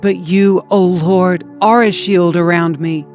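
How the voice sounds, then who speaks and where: A woman's voice: lowish voice, steady tone, takes it slowly, a little mellow, soft background music. A woman reading scripture aloud as a scripted audiobook narration. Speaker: scripture reader.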